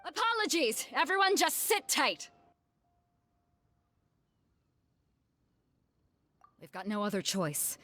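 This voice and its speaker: An actress playing a spirited, confident and showy tournament announcer in an anime-style video game. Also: female voice, high-pitched, big pitch swings, moderate pace, pushed and bright, heavily acted. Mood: impatient, worried.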